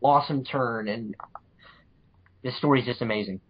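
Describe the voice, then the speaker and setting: A man's voice: mid-range voice, moderate pace, a little hiss or hum. A man co-hosting a casual podcast, recommending stories in a gushing, enthusiastic way. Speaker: podcast co-host. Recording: dull, lo-fi audio.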